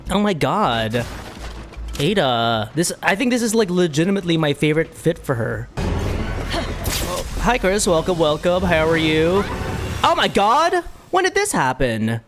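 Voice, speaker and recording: A streamer giving casual, excitable live gaming commentary, chatting to viewers and often repeating words and phrases. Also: medium pitch, a little slow, music in the background. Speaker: streamer playing a game for a live chat.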